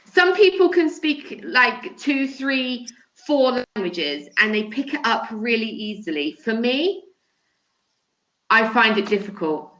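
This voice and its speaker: A woman's voice: on the high side, big pitch swings, slow, fairly bright tone. A middle-aged woman from England with an English accent, chatting casually on a video call as a conversation partner. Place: home in Turkey. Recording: echoey room, dull lo-fi sound.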